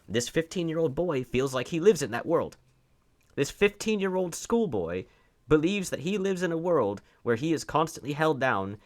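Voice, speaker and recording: A man giving opinionated online commentary, reading out and reacting to posts in an argumentative, emphatic way, with rhetorical questions and repeated phrases. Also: medium pitch.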